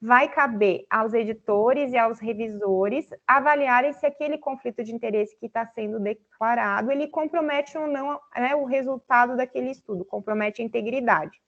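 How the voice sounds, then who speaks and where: A woman with a high voice gives a patient, filler-laden explanation in answer to questions from a seminar audience. She speaks over a video call, and the audio sounds a little dull and muffled. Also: moderate pace, few pauses. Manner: explanatory, many fillers like "né" and "assim". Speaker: guest lecturer. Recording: slightly dull online audio.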